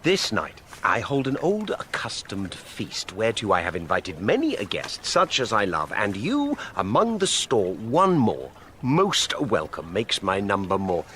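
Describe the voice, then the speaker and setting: A man playing an aging, authoritative noble father in a Shakespearean audio drama, with a measured, courtly delivery. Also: lowish voice, huge pitch swings, a bit bright, a little hiss or hum, exaggerated voice. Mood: hospitable. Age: older. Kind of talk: Shakespearean full-cast audio drama acting.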